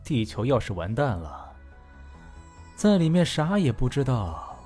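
A man's voice: medium pitch, big pitch swings, measured pace, warm tone, faint music behind. A man playing a wistful, fatalistic character in a science fiction radio drama.

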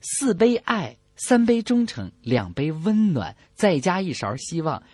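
A man's voice: high voice, big pitch swings, measured pace, a little mellow, husky, no room sound. A man co-hosting a radio comedy show, telling scripted jokes and bantering.

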